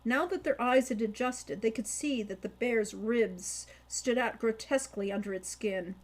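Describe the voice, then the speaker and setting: A woman's voice: fairly high pitch, a little slow. A woman reading scripted fiction aloud as an audiobook narrator. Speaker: audiobook reader, author.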